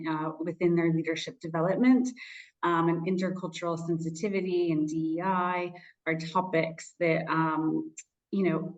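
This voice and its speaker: A woman from the United States, a guest speaker, introducing herself with enthusiasm on a video call. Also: sits low. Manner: upbeat, enthusiastic. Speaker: guest speaker, coaching business leader. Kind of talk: online webinar introduction. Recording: dull lo-fi call audio.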